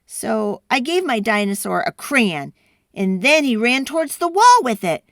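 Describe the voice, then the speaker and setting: A woman voicing an earnest, excitable child in a storybook, explaining things in an animated, dramatic way. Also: fairly high pitch, measured pace, dry recording, clean studio sound. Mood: sheepish explaining. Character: earnest, excitable child telling a dinosaur tale.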